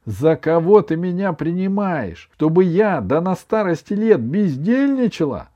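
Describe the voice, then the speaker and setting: A man's voice: high-pitched, lively intonation, measured pace, darkish tone, muffled recording, pitched above the performer's own voice. A man voicing a bossy, doting old grandmother in a children's story, with an emphatic, plaintive, scolding delivery. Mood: indignant, scolding.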